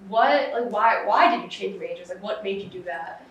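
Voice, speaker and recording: A woman's voice: mid-range voice, normal speed, fairly bright tone, distant mic, highs cut off. Stuffy young woman host in echoey room. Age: young adult. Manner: friendly, with many "mm" backchannels. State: stuffy nose, a little nasal. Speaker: host, student interviewer. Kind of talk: casual interview hosting. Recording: very echoey room, away from the mic.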